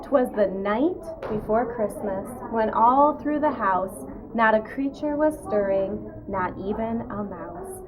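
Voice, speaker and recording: A woman reading a holiday poem aloud with a clear storytelling delivery. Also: fairly high pitch, expressive, slow, background noise.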